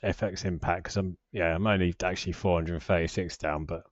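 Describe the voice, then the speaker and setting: A man's voice: low-pitched, fairly even intonation, fairly quick, dry recording, muffled recording. A British man in his forties giving a casual, unscripted finance vlog commentary in a hesitant, rambling, self-deprecating way. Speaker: personal finance vlogger.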